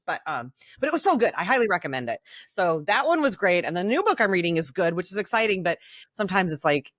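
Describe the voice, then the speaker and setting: A woman with a fairly low voice chats as a podcast co-host. She is candid and enthusiastic, wandering into asides. The sound is dry and close, with very little room in it. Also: sing-song, fast talker, fairly bright tone, no echo. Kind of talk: casual conversational podcast chat.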